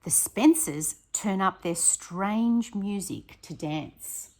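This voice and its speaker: A woman primary school teacher reading a picture book aloud to children, expressive and prompting her listeners with questions, in a slightly husky voice. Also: medium pitch, slow pace.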